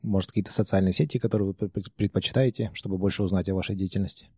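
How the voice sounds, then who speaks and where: A man's voice: low voice, steady tone, very fast, darkish tone, breathy edge, dry recording, studio quality. A male podcast host asking interview questions and reading the show outro in a polite, formal manner.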